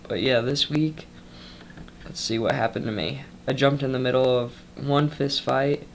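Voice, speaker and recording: A man's voice: medium pitch, gravelly, a little hiss or hum. A young man giving a casual, rambling vlog update, stuffed up from a cold and tired after a long shift, talking loosely with lots of ums and asides. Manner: loose and chatty, full of ums and trailing asides. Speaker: vlogger.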